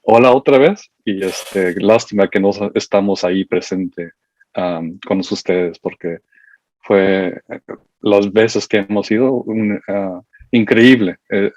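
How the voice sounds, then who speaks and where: A middle-aged man from the United States giving a seminar talk as a university education researcher. He speaks haltingly, with many fillers, and admits he is nervous. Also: on the low side, fairly even intonation, darkish tone, no echo. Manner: halting and hesitant, full of fillers, admits to nerves. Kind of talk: seminar presentation. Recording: dull lo-fi dry sound.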